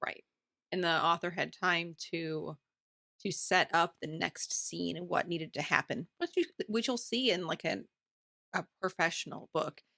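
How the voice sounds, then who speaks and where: A woman with a lower-pitched, bright voice and a gravelly fry edge chats casually as a podcast co-host. She sounds informal and talkative, recorded close and dry. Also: measured pace, no echo. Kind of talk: casual book club chat.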